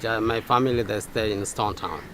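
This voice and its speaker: A local man from Zanzibar, a native Swahili speaker with a Swahili accent, answering interview questions haltingly with many fillers. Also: lowish voice, fairly even intonation, a bit bright, slight background noise. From Zanzibar, Tanzania.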